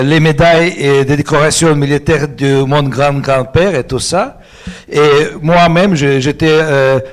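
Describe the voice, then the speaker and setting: A middle-aged man from Ukraine, a writer guest speaking halting, non-native French on a book-fair panel and growing heated at times. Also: fairly high pitch. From Ukraine, of Russian origin.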